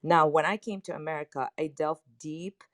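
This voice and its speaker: A woman's voice: low voice, moderate pace, no room sound. Woman from lebanon, english not first language, panelist, emphatic, dry sound. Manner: animated, personal, emphatic. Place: online audio chat room.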